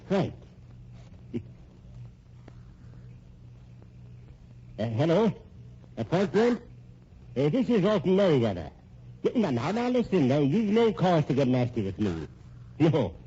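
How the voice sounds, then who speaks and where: An actor playing a vengeful, folksy old rich American husband in a vintage radio drama, hesitant, rambling and slyly menacing. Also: male voice, a little high, big pitch swings, moderate pace, dark tone, breathy edge, heavily acted. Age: older. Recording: muffled, noisy old radio recording.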